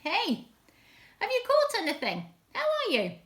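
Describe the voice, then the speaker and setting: A woman's voice: a little high, sing-song, unhurried, fairly bright tone, full character voice. A woman voicing a kindly man calling to his friends in a children's Bible story, with simple, inviting lines. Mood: friendly calling.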